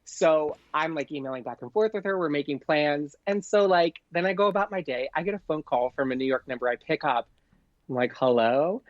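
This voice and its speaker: A man chats through an anecdote as a podcast guest in an excitable, gushing way. He peppers it with "like" and voices the dialogue as he goes. The sound is dry and somewhat dull, with a lo-fi edge. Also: on the high side, fairly quick, no echo. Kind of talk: casual anecdote, chatty storytelling.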